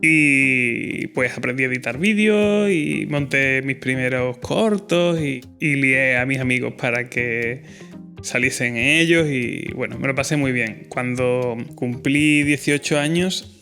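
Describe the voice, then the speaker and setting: A man's voice: mid-range voice, measured pace, bright, forceful tone, faint music behind. A man, hosting a solo podcast in an earnest, reflective way. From Spain. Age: 30s. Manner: earnest, reflective, with fillers and restarts. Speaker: podcast host.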